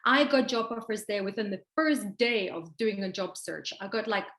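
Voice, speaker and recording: A woman's voice: medium pitch, animated, normal speed, fairly bright tone, roomy sound. A South African woman from Pretoria, in her thirties, talking as an interview guest in a confident, upbeat way. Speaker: expat guest. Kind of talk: casual interview chat.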